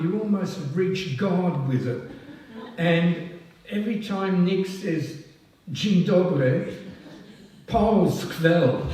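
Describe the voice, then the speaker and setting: An older man with a slightly high voice gives a slow, halting speech full of ums and restarts. He sounds a little distant from the mic. The hall around him is large and very echoey. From France. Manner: halting and self-deprecating, many ums and restarts. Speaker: past president honoured at a society oration. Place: large hall at a public event. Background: audience laughing.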